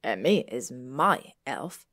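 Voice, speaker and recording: A woman's voice: a bass voice, very animated, takes it slowly, a bit bright, voice pushed down, exaggerated voice. A woman playing an aloof, proud teenage boy wizard in a story, speaking in a curt, clipped way. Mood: cold, indifferent.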